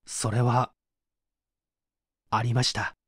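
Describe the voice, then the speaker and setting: A man's voice: medium pitch, level tone, slow, husky, no echo, clean studio sound. A man playing an evasive, subdued painter who is under interrogation, hesitant and confessional, in a dramatised mystery reading. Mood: subdued, resigned.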